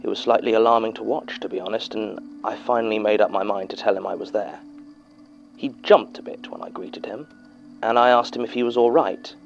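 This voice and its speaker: An actor with a British accent playing an archivist who reads a witness's unsettling statement aloud in a measured, confiding horror-drama narration. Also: male voice, fairly low pitch, fairly even intonation, gravelly, lo-fi sound, faint music behind. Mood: uneasy, confiding. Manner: measured, confiding, with scripted hesitations.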